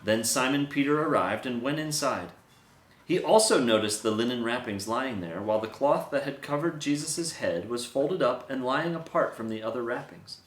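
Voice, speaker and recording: A man's voice: mid-range voice, normal speed. A man giving a dramatic, scripted reading of a Bible story as a storyteller. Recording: echoey room.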